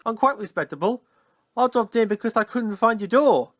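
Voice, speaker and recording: Lady doing a jolly fat vicar for a storybook dub, polite, quite high voice. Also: lively intonation, normal speed. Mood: amused, genial. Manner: genial, polite. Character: jolly, unruffled fat male clergyman. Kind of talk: children's storybook fan dub.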